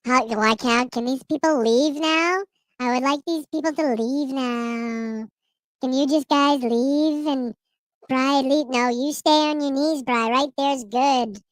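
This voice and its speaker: A man voicing a smug, whiny, childlike old politician in a slow, mocking drawl. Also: very high-pitched, fairly even intonation, a bit bright, clean tone, no room sound, voice pushed up, heavily acted.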